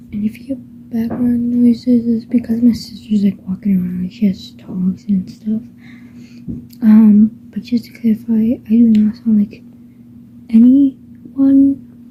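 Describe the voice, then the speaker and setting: A nervous woman rambling in a soft half-whisper at a slow, unhurried pace. She is recorded at home on dull, muffled audio with faint household noise behind her. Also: medium pitch. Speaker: fan audio creator. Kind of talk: casual chat before an audio drama.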